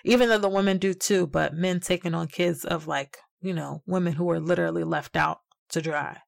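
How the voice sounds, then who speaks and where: A woman's voice: lowish voice, steady tone, brisk, creaky, no echo, clean studio sound. A woman speaking as a podcast guest in casual, slangy conversation.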